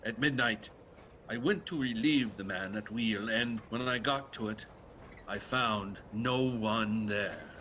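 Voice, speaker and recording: A man acts out a radio-play sea captain, slow and forceful. He is grim and dutiful with fear underneath, speaking in terse log entries over faint noise. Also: fairly low pitch, dull audio. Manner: terse, clipped phrasing. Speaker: actor.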